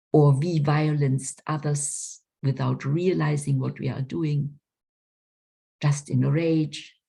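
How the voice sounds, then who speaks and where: Slow, low, gravelly-voiced woman lecturer. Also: darkish tone. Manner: slow, emphatic, philosophical. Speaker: conference speaker. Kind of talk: spiritual talk answering a question.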